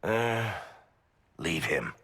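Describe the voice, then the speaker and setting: An eloquent, roguish dwarf in a fantasy game. His voice is bright and forceful, unhurried, with very animated pitch. He speechifies wryly, with gruff affection. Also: full character voice. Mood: wry, affectionate. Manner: wry, speechifying. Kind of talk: dramatic video game dialogue.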